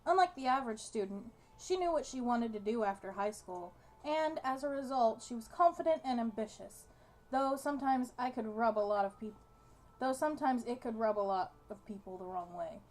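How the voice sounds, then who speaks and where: A woman's voice: a little high, moderate pace, a little mellow, low-quality recording. A woman reading a visual novel's narration aloud as part of a game playthrough. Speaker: gaming video co-host.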